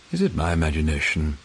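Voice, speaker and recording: An actor playing a witty, unflappable cabaret playwright in a radio drama, with an arch, dry, urbane manner. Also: male voice, a bass voice, exaggerated voice. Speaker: lead actor in a radio play. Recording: faint background noise.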